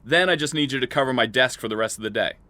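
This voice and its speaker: A ruthless middle-aged boss played by a man in an audio drama. His voice is sharp and forceful, recorded very dry. He gives orders brusquely, with biting sarcasm. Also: mid-range voice, quick pace, dry recording, natural voice. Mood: bossy, brisk.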